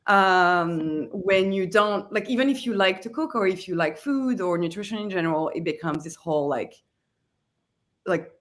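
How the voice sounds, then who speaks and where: A woman's voice: fairly low pitch, normal speed. A woman in her thirties with a French accent, a company founder speaking casually on a webinar panel with many fillers. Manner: conversational, many fillers like "like" and "you know".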